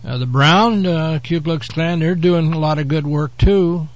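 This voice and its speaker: A man hosting his own show, hoarse from a head cold, rambling through an offhand monologue with frequent fillers. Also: on the high side, unhurried, dull audio. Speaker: host. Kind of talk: casual talk-show monologue.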